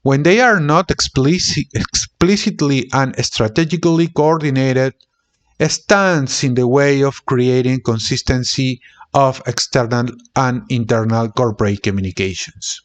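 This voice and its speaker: A man reading academic text aloud as an amateur narrator, with deliberate, halting phrasing. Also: medium pitch.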